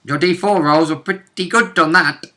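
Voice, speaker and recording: A man with a strikingly bright, forceful voice, pitched a little high, talks casually as a tabletop player. He is blunt and joking, and not shy of swearing. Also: normal speed. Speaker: tabletop role-playing player. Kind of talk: casual chat.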